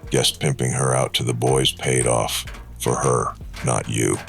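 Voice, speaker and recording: An actor playing a huge spectral wrestler in a noir radio drama, speaking slowly with cold, accusing menace and a gravelly voice. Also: male voice, flat delivery, soft background music, exaggerated voice. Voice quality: very deep, gravelly. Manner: slow, cold, ominous.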